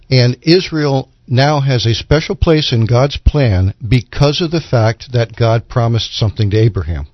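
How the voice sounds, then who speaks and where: A man with a mid-range voice explains scripture as a program guest. His delivery is measured and instructive. The recording is dry and somewhat dull. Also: mid-range voice, dry recording. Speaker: guest Bible commentator. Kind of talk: explanatory Bible teaching in conversation. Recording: dull, lo-fi broadcast audio.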